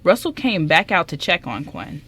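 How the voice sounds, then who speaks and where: A woman's voice: on the low side, very animated, normal speed, fairly bright tone, slight background noise. A woman reading scripted story narration as the narrator of a radio drama.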